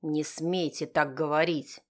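A woman's voice: low-pitched, steady tone, measured pace, pitched below the performer's own voice. A woman voicing a stern, wounded father, curt and firm, in an audiobook drama. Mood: protesting. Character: stern, wounded, authoritative father of a teenage girl.

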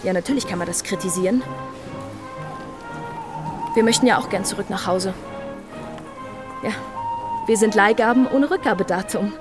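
A woman's voice: medium pitch, normal speed, pauses often, over music, lightly acted. A woman playing an ironic museum guide, presenting to an audience in a mock-earnest theatrical monologue. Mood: mock-earnest, presentational. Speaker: stage performer. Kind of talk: theatrical monologue in a satirical revue.

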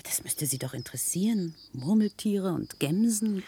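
Mellow, low-voiced straggling visitor. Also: female voice, lively intonation, normal speed, a little hiss or hum. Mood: casual, unworried. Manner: casual, hesitant, conversational. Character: naive, dawdling female visitor left behind by tour. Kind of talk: naturalistic radio play acting.

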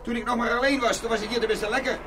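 A man's voice: very high voice, steady tone, racing, recorded from a distance. A man playing the boisterous, boastful North Wind, an unruly son, in a children's fairy-tale radio play. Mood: blustering, boastful. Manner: loud, blustery, boastful.

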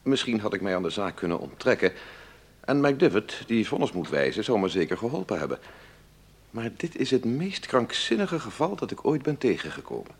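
A defence lawyer telling a friend about an absurd case in a radio drama. His voice is deep and husky with a gravelly edge. He confides with animated, exasperated disbelief. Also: full character voice. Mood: wry, fascinated. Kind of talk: radio drama letter monologue.

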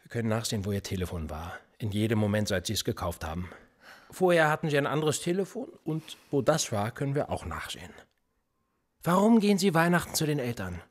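An actor playing a menacing police interrogator in a radio play, needling and mocking with a husky voice. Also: male voice, mid-range voice, very animated, a little fast. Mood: insinuating. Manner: needling, insinuating questions. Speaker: police officer.

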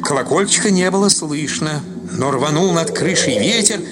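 A man's voice: on the high side, animated, moderate pace. A man reading scripted literary narration as the narrator of a radio drama. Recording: loud background noise and ambience.

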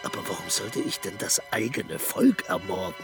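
A man's voice: medium pitch, wildly swooping pitch, normal speed, gravelly, over music, heavily acted. An actor playing a boastful, defiant aging male dictator in a radio play, talking in a grandiose, indignant, lecturing manner. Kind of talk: radio drama acting.

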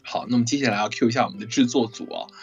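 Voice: mid-range voice, racing, dull audio, soft background music. A host of a cast livestream chatting casually and teasing in a playful way. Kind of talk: casual hosting chat with teasing.

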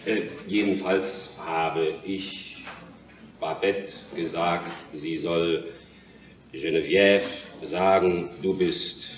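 A man's voice: sits low, steady tone, drawn-out words. A cocky, mocking young man in an echoey room. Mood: teasing. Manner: mocking, worldly, lecturing. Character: brash teasing young Parisian man, cocky and bawdy. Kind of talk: German radio play acting. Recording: echoey room, slightly distant, faint hiss.

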